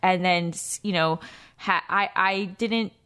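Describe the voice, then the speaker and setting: A woman's voice: on the low side, unhurried, a bit bright, clean tone. A woman in her thirties talking in a casual chat, chatty and laughing often, restarting her sentences. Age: 30s.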